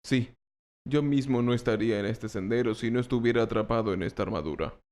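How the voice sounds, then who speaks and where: A man's voice: mid-range voice, fairly quick, a little mellow, lightly acted. A man playing an earnest, naive knight. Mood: surprised, earnest. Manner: earnest, questioning. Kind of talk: audiobook character acting.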